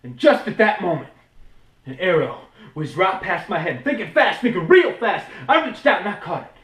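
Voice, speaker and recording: A man's voice: high-pitched, sing-song, moderate pace, fairly bright tone, recorded from a distance. A man acting a swaggering, boastful frontier showman who tells tall tales in a theatrical, grandiose stage monologue. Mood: boastful, excited. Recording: roomy, echoing indoor recording.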